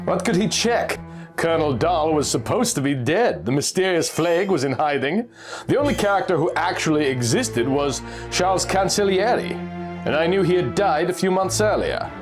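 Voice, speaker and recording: A man voicing a shrewd, confident Austrian ex-soldier in a dramatic pulp story reading, explaining things in a deliberate, conspiratorial way. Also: a little high, lively intonation, music in the background, natural voice. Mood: sly, assured.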